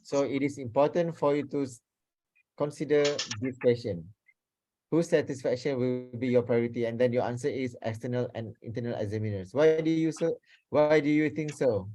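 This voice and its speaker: A man's voice: medium pitch, moderate pace, mellow, low-quality recording. A man with a Malaysian accent teaching an interactive online seminar, repeating himself and checking in with participants as he goes. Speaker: lecturer, workshop speaker. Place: online video call.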